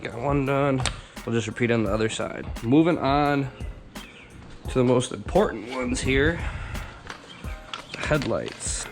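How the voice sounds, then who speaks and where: A man with a hoarse, raspy voice from just waking up, casually talking through a car install for his vlog on a handheld camera, rambling with plenty of fillers. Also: mid-range voice, a little slow, long pauses, music in the background. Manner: relaxed, rambling, many fillers. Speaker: car vlogger.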